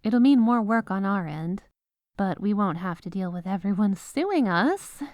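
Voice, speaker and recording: Woman playing santa's fed up lady lawyer, wry and businesslike, mid pitch voice, no room echo, audio roleplay. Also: expressive, unhurried, a little mellow, studio quality, lightly acted. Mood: businesslike, explaining. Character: exasperated, dutiful head legal advisor at Santa's North Pole. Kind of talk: scripted comedic audio roleplay monologue.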